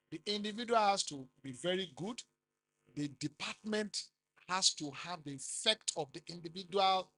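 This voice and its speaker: A middle-aged man with a Nigerian accent giving a business seminar talk, rising loudly for emphasis and quizzing the audience. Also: high-pitched. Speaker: conference speaker.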